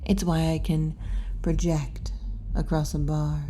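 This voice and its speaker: A woman voicing a brash yet kindly tomboy wolf girl bartender in an intimate, unhurried ASMR roleplay, often dropping toward a whisper. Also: low-pitched, little pitch movement, mellow, slight background noise. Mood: wistful, gentle. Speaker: bartender character in audio roleplay.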